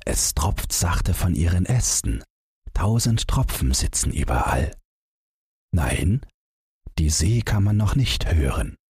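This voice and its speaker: A man's voice: low-pitched, very animated, slow, a little mellow, breathy edge, no room sound. A man narrating a story as a scripted audiobook, close to the microphone.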